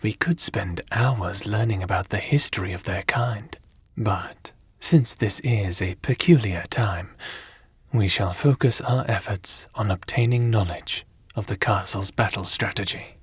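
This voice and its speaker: A man playing a calm, curious, formal god-like spirit in an ASMR audio roleplay, speaking in measured, pensive phrases. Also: fairly low pitch, breathy edge, dry recording. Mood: calm, studious.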